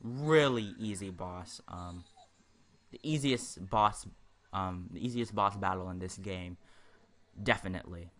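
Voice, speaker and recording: A man's voice: low-pitched, a little slow, fairly bright tone. A man giving casual commentary over a video game walkthrough, rambling and hesitant, often repeating himself. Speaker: gaming video host.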